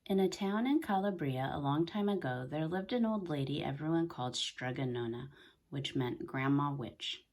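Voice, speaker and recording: A woman reading a children's story aloud in an expressive, deliberate way, softening at the ends of phrases. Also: low voice. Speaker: story reader.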